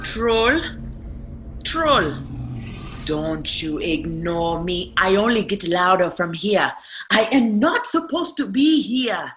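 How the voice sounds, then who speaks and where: A woman's voice: mid-range voice, very animated, slow pace, poor audio. A woman playing an imperious, indignant fairy-tale prisoner who speaks formally and insistently in a radio play. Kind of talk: irreverent radio play acting.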